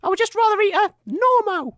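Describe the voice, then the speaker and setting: A man playing a whiny cannibal gameshow contestant in a comedy audio drama, with a squeaky, shrill voice. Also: lively intonation, brisk, a bit bright, dry recording, clean studio sound. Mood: defensive.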